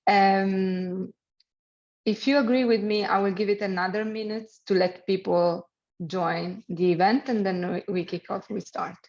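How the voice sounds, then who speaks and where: A woman with an Italian accent, a native Italian speaker, hosting an online webinar in halting speech with many fillers and light jokes. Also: mid-range voice, steady tone, darkish tone. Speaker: webinar host and program manager. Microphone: video call. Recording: lo-fi video call audio.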